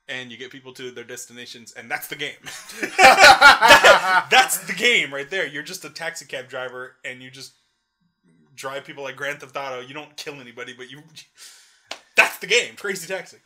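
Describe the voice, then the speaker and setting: A young man co-hosting a casual gaming podcast, talking excitably and repeating himself for emphasis. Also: mid-range voice, normal speed, a crowd in the background. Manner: excitable, breaks into shouted mock quotes and repeats himself. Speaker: podcast co-host.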